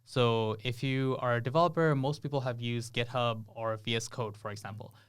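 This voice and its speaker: Smooth-voiced young man, casual guest. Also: mid-range voice, fairly even intonation, brisk. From Bangladesh. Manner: laughs often, explains with many fillers. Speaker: podcast guest, software engineer. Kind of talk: casual podcast chat.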